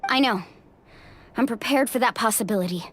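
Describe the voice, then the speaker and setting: A woman's voice: on the high side, fairly quick, a little hiss or hum, lightly acted. A woman voicing a spirited, loyal young heroine in a video game, earnest, emphatic and protective in manner. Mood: resolute, grateful. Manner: earnest, emphatic, warm encouragement. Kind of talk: English video game voice acting.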